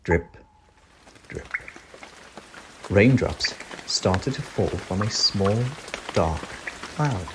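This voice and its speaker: A man narrating a children's story in an expressive, gentle, deliberate way. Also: low voice, noisy background. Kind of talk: children's read-aloud narration. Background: crickets, animal and nature sound effects.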